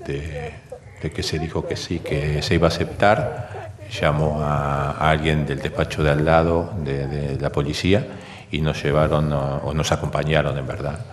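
An older Argentine man recounting memories in an interview, speaking in halting, hesitant phrases. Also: rumbling low. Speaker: interviewee giving testimony. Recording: long reverb, clear background noise.

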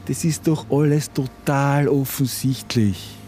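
An actor playing a domineering, condescending conspiracy believer turned kidnapper in a radio play, speaking colloquially with an Austrian accent. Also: male voice, medium pitch, fairly even intonation, slow pace, warm tone, a little hiss or hum, lightly acted. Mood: insistent. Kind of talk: contemporary radio play acting.

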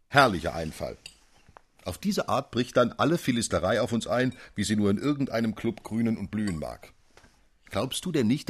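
An actor playing a spirited, impatient member of a literary club in a radio drama, arguing emphatically in old-fashioned phrases. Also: male voice, lowish voice, breathy edge. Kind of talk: German radio drama dialogue.

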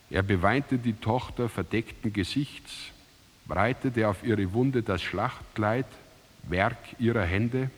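Slow, low-voiced man reciting solemnly. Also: husky. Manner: deliberate, declamatory, weighty. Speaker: narrator. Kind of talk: solemn dramatic literary recitation over music. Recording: music under the voice. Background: music.

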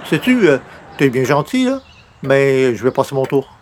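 An actor playing a skeptical, unflappable everyman in a comic radio play, dry, understated and gently sarcastic. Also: medium pitch, sing-song, moderate pace, a little hiss or hum, close to an everyday voice. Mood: wry refusal. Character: skeptical, unflappable everyman, dryly humoring a schemer. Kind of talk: comic radio play acting in old-time sitcom format.

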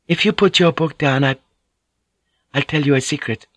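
A man voicing a gentle teasing noblewoman. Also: fairly high pitch, normal speed, dry recording, pitched above the performer's own voice. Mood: coaxing. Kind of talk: audiobook character voice.

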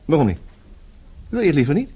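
Husky, wildly swooping flustered male suitor. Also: on the high side, sing-song, fast talker, dark tone, heavily acted. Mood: awkward courting. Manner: stammering, hesitant. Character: flustered, boyish suitor, earnest and easily indignant. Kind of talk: Dutch radio play acting.

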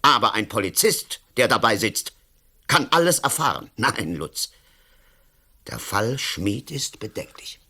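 A domineering politician in a radio drama. He has a fairly low voice that swings widely in pitch as he blusters. His delivery is theatrical and condescending, every point pressed home with sly self-satisfaction. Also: very animated, measured pace, pushed and bright, exaggerated voice. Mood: sly, cynical. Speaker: actor in a radio play. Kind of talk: German-language radio drama acting.

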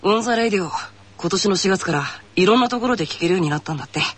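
A cool, cocky teenage anime boy. The voice is high and husky, with brisk scripted delivery. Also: animated. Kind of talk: scripted anime character greeting.

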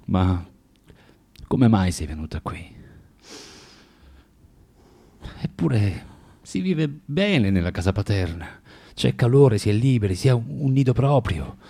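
An actor in an Italian radio drama playing a bitter, self-loathing man who lectures a young woman haltingly and theatrically, swinging from tender to vehement. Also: fairly low pitch, sing-song, creaky, mellow, breathy edge, full character voice. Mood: grave, reflective.

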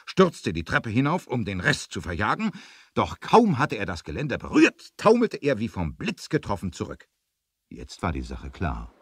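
Male narrator, low voice, lively intonation, suspenseful emphasis, vintage adventure radio play, dry close sound. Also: husky, no echo. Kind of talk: dramatic scripted storytelling for 1970s adventure radio play.

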